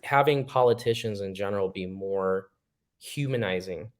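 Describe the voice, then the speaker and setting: A man's voice: lowish voice, moderate pace. A man speaking as a podcast guest in casual chat, earnest and reflective with an optimistic tone.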